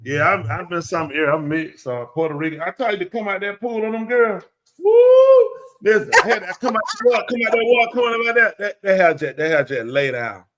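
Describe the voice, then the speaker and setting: A man, co-hosting a live show and chatting casually with animated exclamations. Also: high-pitched, fairly quick. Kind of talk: casual chat.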